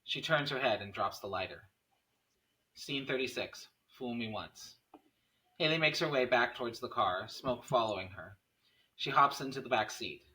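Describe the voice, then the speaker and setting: Guy reading script directions, bright middle-pitched voice, bit far from the mic. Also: lively intonation, moderate pace. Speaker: stage-direction reader. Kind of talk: scripted screenplay narration at a table read.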